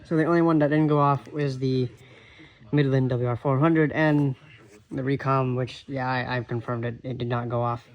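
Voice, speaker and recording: A man's voice: mid-range voice, fairly even intonation, normal speed, lo-fi sound. A man talking casually as the host of his own weather radio hobby recording. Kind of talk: casual commentary. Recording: very noisy home recording, clicks, radio static. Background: weather radios playing, static and clicks.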